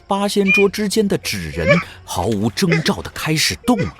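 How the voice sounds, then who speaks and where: A man's voice: on the high side, wildly swooping pitch, normal speed. A man narrating an audio drama in a suspenseful, dramatic scripted storytelling style.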